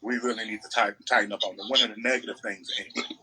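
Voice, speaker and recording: A man's voice: on the low side, fairly even intonation, very fast, heavy background noise. An American man chatting casually as a podcast co-host, making opinionated points emphatically and repeating phrases.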